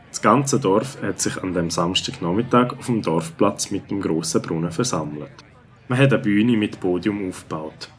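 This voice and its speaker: A man narrating a scripted children's story as the narrator of an audio play. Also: low voice.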